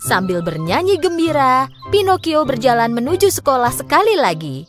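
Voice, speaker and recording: A woman reading a children's fairy tale in an expressive storytelling delivery, as scripted narration. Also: sits high, moderate pace. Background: music.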